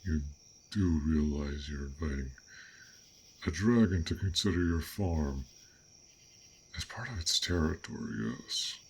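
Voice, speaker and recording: A man voicing a gentle, bashful dragon in an intimate fantasy audio roleplay, speaking haltingly in formal, old-fashioned words. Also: very deep voice, animated, a little hiss or hum, heavily acted. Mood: flustered, hesitant. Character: fearsome but gentle, bashful, curious male dragon. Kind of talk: roleplay audio acting, intimate one-sided dialogue.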